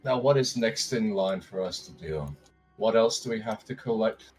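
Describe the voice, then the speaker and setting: Low-voiced man roleplaying. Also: normal speed, darkish tone, soft background music. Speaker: tabletop roleplay player. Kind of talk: in-character tabletop roleplay.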